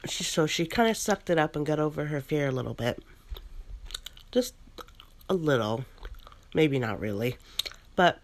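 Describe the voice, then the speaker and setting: A woman chewing gum as she narrates a first-person ghost story in an ASMR style, pausing between short phrases. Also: low voice. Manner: chews gum while narrating.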